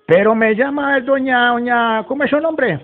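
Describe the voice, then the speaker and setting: A man's voice: very high voice, normal speed. A man voicing a rustic, foul-mouthed, agitated 78-year-old from Antioquia, Colombia, in a folksy, rambling prank phone call. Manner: folksy, rambling, swearing, repetitive. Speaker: prank caller. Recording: muffled, very noisy phone recording with clicks.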